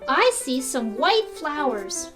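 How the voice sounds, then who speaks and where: Very high, childlike little mouse puppet. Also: female voice, very animated, takes it slowly, music in the background, heavily acted. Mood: delighted. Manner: exclaiming, simple repetitive teaching phrases. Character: small cheerful curious mouse puppet, childlike. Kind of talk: slow, simple puppet show for toddlers.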